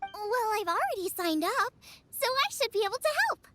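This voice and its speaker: A woman's voice: very high voice, very animated, moderate pace, fairly bright tone, full character voice. A woman voicing a bubbly, confident teenage girl chef in a video game, with a girlish, eager delivery. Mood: proud, eager. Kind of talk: English video game voice acting. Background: playful game music.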